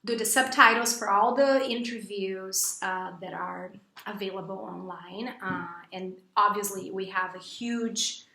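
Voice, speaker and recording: A woman's voice: a little slow, vocal fry, reverberant. A Brazilian woman who speaks English as a second language with a Brazilian Portuguese accent, chatting casually with many fillers and restarts. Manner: chatty, full of fillers and restarts, bursts into high excited quotes. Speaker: English teacher and vlogger. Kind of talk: casual chat to camera.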